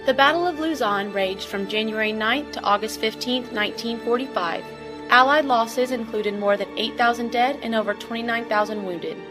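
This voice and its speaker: A woman's voice: fairly high pitch, normal speed, over music. A woman narrating a documentary from a script.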